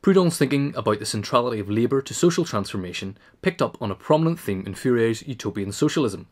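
Guy with a lowish voice reading out a written essay. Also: darkish tone. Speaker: reader. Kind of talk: scripted narration, reading a biographical essay.

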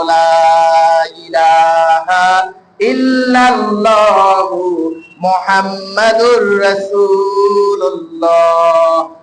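A man's voice: high-pitched, fairly bright tone. A man preaching an Islamic sermon to a congregation, exhorting them and repeating his phrases for emphasis. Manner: exhortatory, repeats phrases, prompts listeners to respond. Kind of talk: sermon to a congregation, with religious stories. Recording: echoey room, faint background noise.

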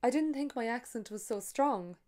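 A woman's voice: a little high, lively intonation, normal speed, darkish tone, pitched above the performer's own voice, natural voice. A woman reading aloud voices polite German tourists with a German accent and a careful, courteous manner.

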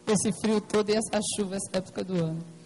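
A woman with a hoarse, partly lost voice, giving a hesitant technical presentation at a council meeting, full of fillers and restarts. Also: mid-range voice, steady tone, fast, darkish tone, low-quality recording. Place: meeting room at a plenary session.

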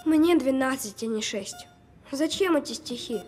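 A woman's voice: sits high, measured pace, a little mellow, soft background music. A woman playing a sceptical, precocious twelve-year-old sick boy with a dry, know-it-all manner in an audio drama. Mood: sarcastic, weary.

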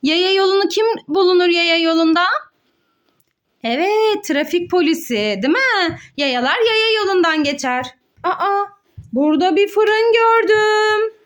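Very high-pitched woman in playful teaching mode. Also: animated, a little slow, a bit bright. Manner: sing-song, exclaiming, playfully instructive. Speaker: mother hosting a children's educational play video. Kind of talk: child-directed play narration with questions and answers.

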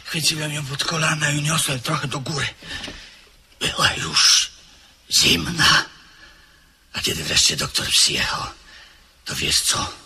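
An actor playing a haunted, frantic widower in a radio play, swinging from hushed whispers to shouts. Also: male voice, on the high side, big pitch swings, unhurried, long pauses, slight background noise, exaggerated voice. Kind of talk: 1970s Polish radio play acting.

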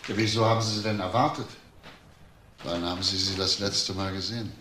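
An actor playing a methodical police detective, asking persistent, measured questions in a crime radio play. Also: male voice, low voice, fairly even intonation, close to an everyday voice. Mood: measured, probing. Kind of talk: German crime radio drama acting. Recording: faint background noise.

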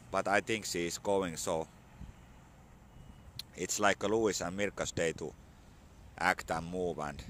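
Slow, deep-voiced Finnish man outdoors. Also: a bit bright, a little hiss or hum. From Finland. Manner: slow, halting learner English with many fillers. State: slightly hoarse from a cold. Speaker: vlogger. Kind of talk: casual outdoor vlog talk. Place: outdoors on a sunny spring morning. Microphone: phone held at arm's length.

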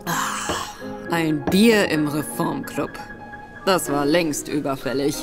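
A woman playing a clever young actress who is in disguise as a gentleman and speaks coolly and sharply. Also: low voice, slow, music in the background. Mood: cool, probing. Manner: cool, sharp-tongued. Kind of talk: German audio drama acting. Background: background score.

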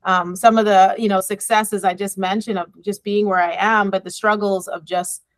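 A woman's voice: medium pitch, little pitch movement, no echo. A middle-aged woman from the Bahamas, an engineering professor and department chair, giving a reflective conference talk over a video call from her office, with frequent ums and you knows. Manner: reflective, measured, many fillers like um and you know. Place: office with bookshelves and window. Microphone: video call, laptop webcam.

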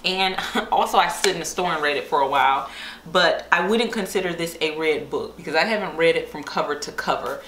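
A young woman with a slightly low voice talks casually to the camera like a book vlogger. She is informal and enthusiastic. The small room echoes noticeably, with a little background noise behind her. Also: fairly quick, a bit bright. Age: young adult. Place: small bare-walled room indoors.

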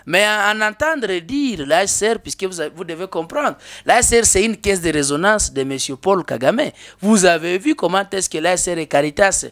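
A man's voice: high voice, expressive, brisk, few pauses, a bit bright. A man in his thirties speaking as a guest in a political studio interview, emphatic, with rhetorical questions and frequent hesitations. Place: small indoor studio. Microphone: desk mic on stand.

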